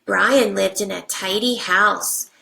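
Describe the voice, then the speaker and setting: A woman teacher reading a picture book aloud to young children, with simple deliberate phrasing and hushed asides. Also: medium pitch, creaky. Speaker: first grade teacher.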